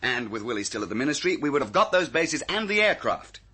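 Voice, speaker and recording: Brash, husky radio-thriller agent. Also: male voice, mid-range voice, big pitch swings, quick pace, bright, forceful tone, exaggerated voice. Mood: pressing, forceful. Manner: clipped, sardonic, old-fashioned British slang. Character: brash, blunt male British security agent, insolently sure of himself. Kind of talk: old-time radio thriller acting.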